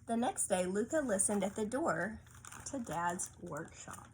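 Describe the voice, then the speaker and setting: A woman's voice: mid-range voice, expressive, unhurried. A woman reading a picture book aloud to a child, often stopping to ask coaxing little questions. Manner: asks coaxing tag questions of a child listener. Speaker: storybook reader. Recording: faint background room noise.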